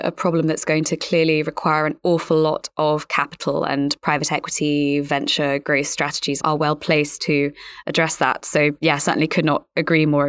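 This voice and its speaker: A woman's voice: low-pitched, no room sound. A woman hosting an interview podcast, polite and chatty, rephrasing as she thinks aloud.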